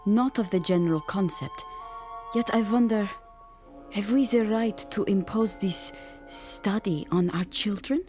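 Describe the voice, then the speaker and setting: An actress playing a troubled expectant mother in a radio drama, earnest, formal and gently hesitant. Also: medium pitch, measured pace, close to an everyday voice. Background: faint music.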